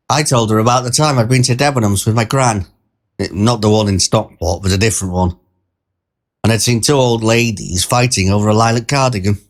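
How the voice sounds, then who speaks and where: A man narrating a first-person short story in a conversational, wry and reflective way. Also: on the low side. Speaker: storyteller, performer.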